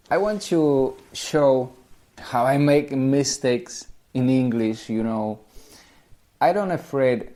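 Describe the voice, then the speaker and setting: A man's voice: medium pitch, mellow. A man in his forties from Poland with a Polish accent, speaking English as a second language, talking casually to camera as a language teacher, repeating himself with small grammar slips.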